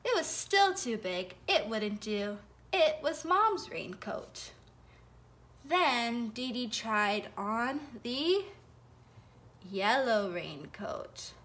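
A young woman telling a felt board story for children at home, expressive and sing-song, with gasps and whispers, recorded on a phone held over the board. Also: fairly high pitch, extremely slow. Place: home living room, indoors. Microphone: phone camera held over the felt board.

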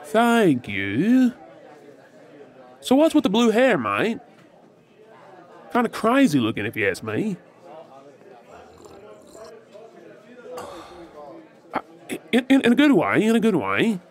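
A man's voice: high voice, huge pitch swings, exaggerated voice. Man acting a swooping, tipsy Australian flirt. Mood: cocky, teasing. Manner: teasing, drawling, halting with hesitations. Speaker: audio roleplay performer. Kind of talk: ASMR audio roleplay, flirty monologue to the listener. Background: faint bar crowd chatter.

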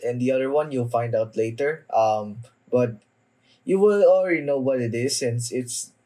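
Young male anime reactor, slightly sick, slow and hesitant, casual commentary with a mid-pitched voice. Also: a little mellow, low-quality recording. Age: young adult. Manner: halting, hesitant delivery. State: somewhat sick with a cough. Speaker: anime reaction video host. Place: small indoor room at home.

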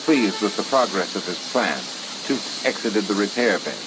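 A man's voice: on the low side, animated, normal speed, background noise. A man reading scripted fiction as an audiobook narrator. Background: music and sound effects.

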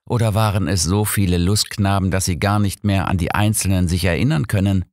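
A man's voice: low voice, a little fast, a little mellow, no echo, clean studio sound. A man voicing a sadistic, vengeful killer who speaks with cold scorn and mockery in an audiobook thriller. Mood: mocking, menacing. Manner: scornful, taunting. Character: sadistic, vengeful male killer, coldly mocking.

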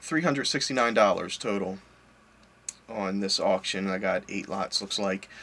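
A man's voice: low-pitched, a little slow, fairly bright tone, slight background noise. A man in his forties giving a casual, rambling vlog update from his home office, often correcting himself mid-sentence. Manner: chatty, rambling, self-correcting, offhand. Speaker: collector and online reseller. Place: home office full of collectibles, indoors. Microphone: webcam at desk, seated.

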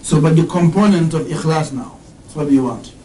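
Higher-voiced man teaching in an echoey room. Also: mellow, far from the mic, slight background noise. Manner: questions the audience, explains terms slowly, recites Arabic verses. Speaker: religious teacher. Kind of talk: interactive religious lesson. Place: indoor classroom with whiteboard.

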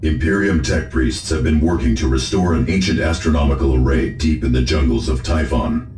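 An actor playing a solemn, methodical space marine tech-priest, giving matter-of-fact briefings in a video game. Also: male voice, very deep voice, a little fast, a little mellow, a little hiss or hum. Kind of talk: scripted game mission briefing. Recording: echoing voice with faint music behind.

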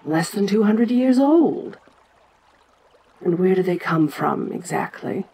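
A woman's voice: lowish voice, expressive, takes it slowly, dark tone, husky, exaggerated voice. A woman playing an ancient giant kaiju queen in an audio roleplay, proud and curious, with a domineering, stately manner. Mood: curious, commanding. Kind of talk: dramatic audio roleplay addressed to the listener.

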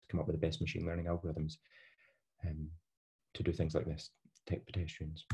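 Low-voiced Scottish lecturer, halting delivery. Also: male voice, a little mellow. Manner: hesitant, many fillers and restarts. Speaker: university lecturer. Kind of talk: online lecture over slides. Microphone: webcam on a video call.

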